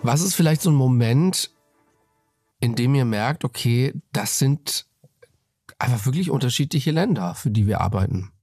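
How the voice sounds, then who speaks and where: A male interview host with a gravelly voice asks long questions that lay out the background before the point. The studio sound is dry and close. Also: medium pitch, animated, normal speed, a little mellow, dry recording, faint music behind.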